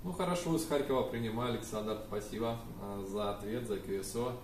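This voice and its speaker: A young man operates a ham radio, making contacts with spelled-out call signs. He is heard from a distance in an echoey room, with faint noise behind him. His pitch is ordinary for a man. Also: fairly quick. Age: young adult. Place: small indoor room with radio desk and computers.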